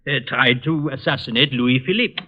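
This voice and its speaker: Muffled, pedantic ballistics expert played by an actor. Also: male voice, medium pitch, normal speed, natural voice. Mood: fascinated. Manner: precise, lecturing. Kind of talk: 1940s radio drama acting. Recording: muffled old radio recording.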